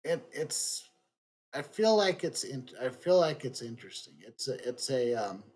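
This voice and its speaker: Halting male game streamer, medium pitch. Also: sing-song, darkish tone. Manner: halting, thinking aloud. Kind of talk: casual live game commentary.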